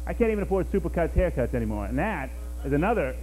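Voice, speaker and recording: A man's voice: fairly high pitch, very animated, fast, darkish tone, slight background noise, low-quality recording. A man from New York doing a rambling, self-mocking stand-up routine, talking to the crowd on a small cafe stage. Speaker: stand-up comedian.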